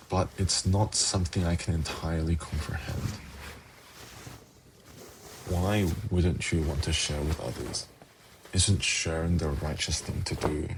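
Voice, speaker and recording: Demon prince, male performer: rock-bottom pitch, heavy fry, suave and teasing, intimate ASMR roleplay. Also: noisy background. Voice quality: very low, gravelly, husky. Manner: slow, coaxing, teasing. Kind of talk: ASMR fantasy roleplay, intimate monologue to listener.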